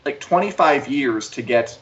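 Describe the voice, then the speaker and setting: A man's voice: medium pitch, expressive, unhurried. A man co-hosting a casual entertainment livestream, giving opinions with emphatic rhetorical questions. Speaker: co-host of an entertainment news live show. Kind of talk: casual chat. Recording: dull livestream audio with faint noise.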